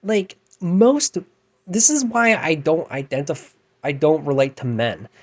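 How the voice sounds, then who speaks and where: A man in his thirties with a light, androgynous voice, ranting at home at night in a rambling, self-deprecating way. He mostly keeps his voice down, then flares up loudly before trailing off again. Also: a little high, animated, unhurried. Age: 30s. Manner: keeps his voice down, with loud bursts. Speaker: musician and vlogger. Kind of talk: rambling late-night rant to camera.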